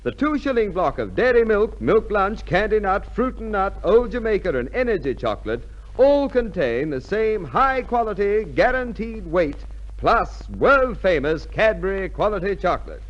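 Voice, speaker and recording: High, animated radio announcer. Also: male voice, moderate pace. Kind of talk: 1950s radio advertising announcement. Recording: dull 1950s radio recording.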